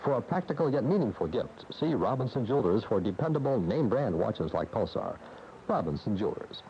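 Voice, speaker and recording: Low-voiced man in an old radio ad. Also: very animated, brisk. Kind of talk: scripted 1980 radio commercial pitch. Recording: muffled, hissy old radio ad.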